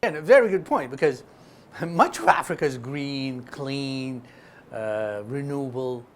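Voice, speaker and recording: A man's voice: mid-range voice, animated, a little slow, slight background noise. A man from Ethiopia explaining business as an interview guest, speaking emphatically and punctuating his points with short check-ins. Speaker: interview guest, investment fund chairman.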